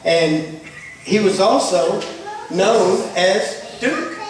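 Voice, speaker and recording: A man tells a story live as puppet show narrator. His voice is fairly high and carries through a very echoey hall from a distance. He rambles, bumbles and teases as he ad-libs. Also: expressive, drawn-out words. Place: church hall.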